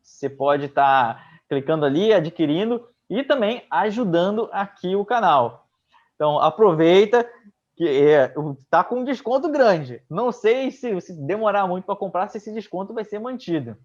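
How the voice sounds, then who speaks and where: A man's voice: high voice, lively intonation, normal speed. A young man in his twenties with a Brazilian accent, giving a slangy, casual review as co-host. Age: 20s. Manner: slangy, colloquial. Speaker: co-host of a comics review channel. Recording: slightly dull, lo-fi sound.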